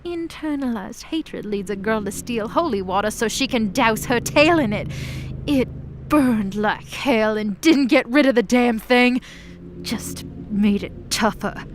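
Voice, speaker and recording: A woman's voice: a little high, unhurried, a little hiss or hum. A woman playing an embittered, defiant demon of hell in an audio drama, speaking with passion and slangy sarcasm. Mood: bitter, sardonic. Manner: impassioned, sarcastic, casual slangy speech. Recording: prominent music under the voice.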